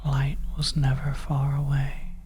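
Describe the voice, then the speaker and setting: A storyteller narrating a suspense horror ASMR piece in the second person, in a hushed and deliberate manner with long pauses. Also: mid-range voice, completely flat delivery, soft background music. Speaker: audio storyteller.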